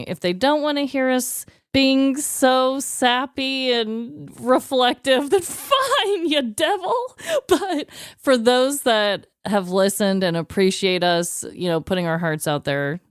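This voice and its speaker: A woman's voice: medium pitch, smooth, dry recording, clean studio sound. A woman co-hosting a podcast in casual chat, supportive and encouraging in manner. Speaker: podcast co-host.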